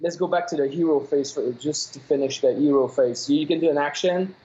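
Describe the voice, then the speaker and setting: A man from Quebec speaks English with a Quebec French accent, French being his first language. He is in his forties, talks in a halting way, and often stops to search for the right word. His voice comes over a dull, lo-fi call line. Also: fairly high pitch, warm tone. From Quebec, Canada. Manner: halting, searches for English words, many uh fillers. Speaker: game developer guest. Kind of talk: phone-in interview.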